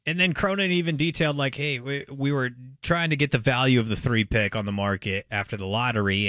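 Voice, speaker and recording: An American man co-hosting a sports talk show, speaking in a casual, animated, conversational way. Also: mid-range voice, dry recording. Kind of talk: casual sports radio discussion.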